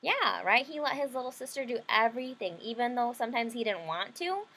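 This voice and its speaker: Woman, children's read-aloud teacher, highish voice, very animated, simple explanations, frequent questions. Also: a little fast, slight background noise. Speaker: teacher reading a picture book to children.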